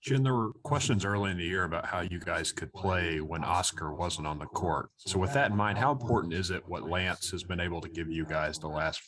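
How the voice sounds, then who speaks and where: Deep-voiced male reporter. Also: brisk, few pauses, a bit bright. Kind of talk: press conference question.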